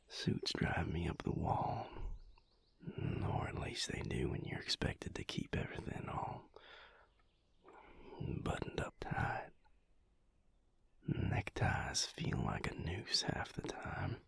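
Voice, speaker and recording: A man playing an affectionate, teasing vampire lover in an intimate audio roleplay, speaking softly and unhurriedly in a whispery, gravelly voice. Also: deep voice, heavily acted. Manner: unhurried, soft, drily teasing.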